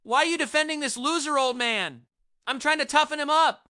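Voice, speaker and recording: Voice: high-pitched, a little fast, sharp tone. A performer voicing a hostile young bully with an aggressive, taunting manner in an amateur audio drama. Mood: sneering, aggressive.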